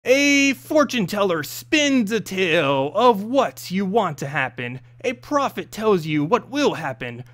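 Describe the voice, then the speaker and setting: A man's voice: high voice, a bit bright. A man hosting a channel and reading a story aloud in an amateur, casual way, stumbling now and then. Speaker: channel host and story reader.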